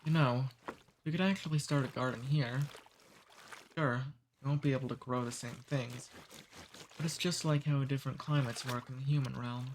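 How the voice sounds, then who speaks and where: A man voicing a friendly, centuries-old male demon in an ASMR roleplay. He talks calmly and teases gently. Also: medium pitch, normal speed, slight background noise, lightly acted, rough recording. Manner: calm, friendly, gently teasing.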